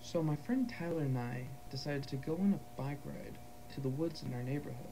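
A man playing a frightened, disbelieving boy witness in an analog horror drama, speaking hesitantly and in a hushed way. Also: a little high, a little fast, noisy background, natural voice. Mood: hesitant, fearful. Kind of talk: analog horror found-footage acting.

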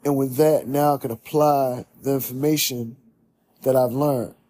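Young male host, solo monologue: earnest, preachy, husky and mellow. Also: medium pitch, fairly even intonation, a little slow. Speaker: podcast host. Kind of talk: reflective monologue. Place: small room indoors.

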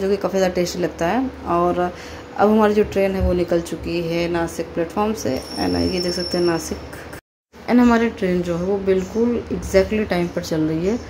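A woman with a somewhat low voice narrates her family's train journey like a casual travel vlog. She talks loosely and chattily, dropping in English words and fillers. Faint noise hums under her voice. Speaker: travel vlogger and mother.

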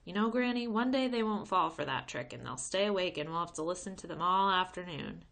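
A woman's voice: lowish voice, expressive, fairly quick, creaky, a bit bright. A woman reading the part of a curious, earnest young boy in a children's storybook. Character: curious, polite young boy pressing his grandmother.

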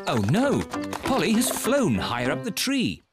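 A man with a British accent narrating a preschool cartoon in a clear, simple storytelling manner. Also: mid-range voice, huge pitch swings, moderate pace, over loud music. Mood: bright storytelling.

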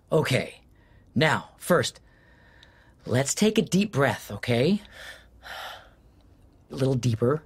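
A rattled, husky-voiced husband. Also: male voice, mid-range voice, very animated, drawn-out words, long pauses, exaggerated voice. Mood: coaxing. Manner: flustered, stammering, cursing. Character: bewildered middle-aged husband woken at night. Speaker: husband Jim. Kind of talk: audio drama acting.

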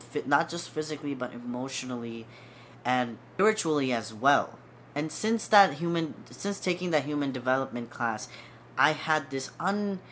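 A man's voice: mid-range voice, fairly bright tone, slight background noise. A young man in his twenties, a medical student, talking casually and earnestly to camera from a small room at home, sounding stuffy and repeating words for emphasis. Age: 20s. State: stuffy nose. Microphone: seated facing camera at close range.

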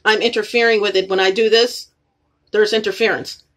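A woman's voice: mid-range voice, fairly even intonation, a little fast, a bit bright. A woman vlogging in her apartment, rambling and repeating herself as she talks straight to her viewers while filming with a phone. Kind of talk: rambling casual talk to camera. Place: apartment room, indoors. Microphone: phone camera pointed at the ceiling.

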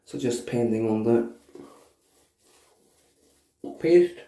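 A man in his thirties with a cold and a stuffy nose, reviewing shaving soap casually in his bathroom while shaving on camera. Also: mid-range voice. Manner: slow, relaxed, frequent uh and um pauses. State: congested from a cold, sore throat. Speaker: shaving vlogger. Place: small bathroom indoors. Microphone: camera at arm's length. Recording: echoey bathroom.

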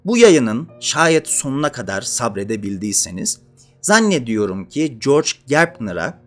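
A man of about thirty hosts an educational video essay, speaking emphatically and deliberately, with rhetorical questions to the listener. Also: mid-range voice. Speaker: host of an educational channel. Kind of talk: explanatory video essay to camera. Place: small indoor studio with dark curtain backdrop. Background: faint background music.

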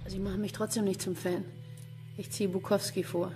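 An actress playing a sharp, unimpressed female police investigator with a dry manner in a crime radio play. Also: mid-range voice, flat delivery, normal speed, music in the background, natural voice. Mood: wry. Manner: dry, matter-of-fact, wry. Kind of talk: German crime radio drama acting.

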